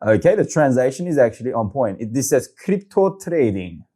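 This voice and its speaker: A man from Korea, hosting a casual, excitable screen-share walkthrough of a website and saying "okay" a lot. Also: medium pitch, fairly quick, faint music behind. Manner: excitable, chatty, says okay often. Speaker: crypto video host.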